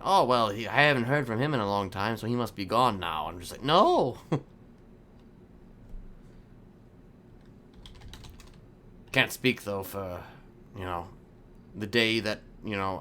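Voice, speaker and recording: A man playing a theatrical, hopeful prisoner in improvised game roleplay, rambling haltingly and repeating himself with sudden emphasis. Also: mid-range voice, a bit bright, lightly acted. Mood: defensive, musing. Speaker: streamer playing a roleplay character. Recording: faint background noise.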